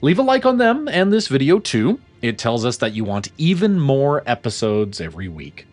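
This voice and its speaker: A man presenting a scripted promotional outro with an animated, playful manner. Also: medium pitch, moderate pace, soft background music.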